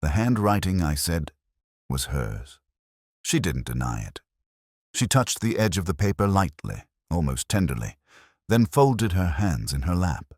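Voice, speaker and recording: A man playing a brooding, melancholy older police detective, narrating a literary drama in the first person in a measured, reflective, formal way. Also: low voice, sing-song, a little mellow, no echo, clean studio sound, full character voice. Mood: grave, restrained.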